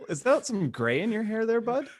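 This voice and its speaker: Expressive man teasing on a podcast. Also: sits high, brisk, a loud crowd. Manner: teasing, wry. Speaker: podcast co-host. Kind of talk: comedic casual group banter.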